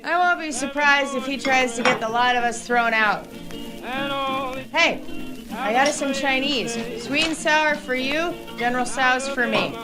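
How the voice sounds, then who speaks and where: A woman's voice: high voice, unhurried. A woman playing a blunt but caring friend in an audio drama, speaking in a frank, familiar, chiding way. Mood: teasing, friendly. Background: music.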